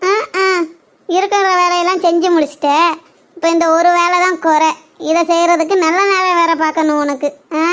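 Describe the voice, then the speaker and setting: A woman's voice: very high-pitched, fairly bright tone. A woman voicing a stern, sarcastic older mother-in-law in a cartoon parody, nagging and pessimistic.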